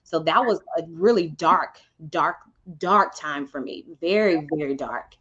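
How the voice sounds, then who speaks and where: A woman's voice: low voice, a little slow, a bit bright, breathy edge. Reflective woman on a dull lo-fi line. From Houston, Texas. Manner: reflective, many ums and restarts. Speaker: podcast guest. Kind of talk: personal testimony in conversation.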